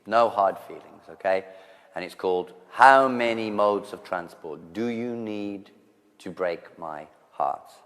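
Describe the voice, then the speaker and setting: A man's voice: deep voice, slow pace, a bit bright, long reverb tail, heavily acted. A man voicing a self-pitying, lovelorn male lounge singer with a droopy croon. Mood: mournful.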